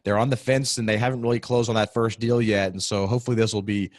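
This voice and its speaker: A man in his thirties hosting an interview podcast from a home office on a desk mic, upbeat and quick. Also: fairly low pitch, level tone, no echo, studio quality. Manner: upbeat, quick, scripted-sounding intros. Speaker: podcast host. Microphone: desk podcast mic on video call.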